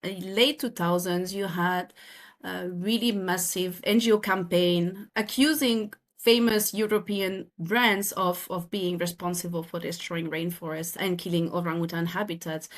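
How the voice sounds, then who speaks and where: A woman's voice: on the low side, steady tone, unhurried. A woman from France with a French accent, in her thirties, earnestly explaining her work as a podcast guest, often restarting her phrases. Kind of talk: podcast interview.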